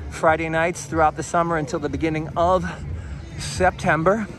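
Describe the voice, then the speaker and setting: A man narrating a walking tour outdoors on a busy seaside boardwalk, in an informative tour-guide manner with drawn-out emphasis, recorded on a handheld camera mic as he walks. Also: on the high side, fairly even intonation, music in the background. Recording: outdoor street and crowd noise.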